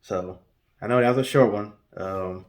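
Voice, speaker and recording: A man co-hosting a storytelling show, speaking casually and haltingly, often pausing mid-sentence. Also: fairly low pitch. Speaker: co-host telling stories in a guessing-game show.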